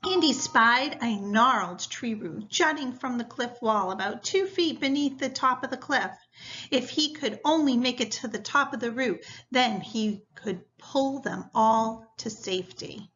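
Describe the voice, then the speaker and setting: Female teacher for classroom read-aloud: high-ish, crisp-edged bright tone, unhurried and instructional, on a muffled lo-fi recording. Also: lively intonation. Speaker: teacher reading a story to students. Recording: dull, lo-fi sound.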